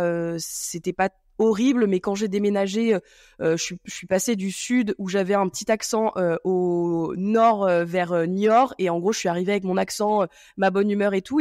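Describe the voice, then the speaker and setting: A woman's voice: mid-range voice, a little mellow, dry recording, clean studio sound. A woman hosting a podcast, chatting casually and rambling with many fillers and restarts. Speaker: podcast host. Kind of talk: casual chat.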